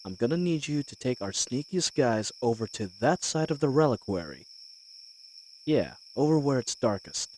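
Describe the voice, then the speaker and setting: A cocky bandit leader, acted by a man, talks in a folksy, wry way with lively swings of pitch. He is wary and scheming, speaking straight to his accomplice in a fantasy audio drama. Crickets and night insects sound faintly behind him. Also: moderate pace, slight background noise, close to an everyday voice, rough recording. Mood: hushed, commanding. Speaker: voice actor in a scripted roleplay audio. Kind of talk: scripted fantasy audio roleplay, addressing the listener.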